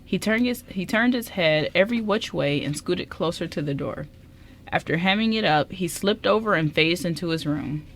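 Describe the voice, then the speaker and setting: A woman's voice: lowish voice, moderate pace, a little hiss or hum. A woman reading scripted story narration as the narrator of a radio drama.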